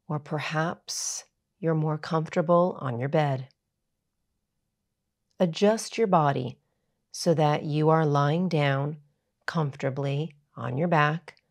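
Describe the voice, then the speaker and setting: A woman yoga teacher narrating a guided meditation in a soothing, measured, unhurried way. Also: low-pitched.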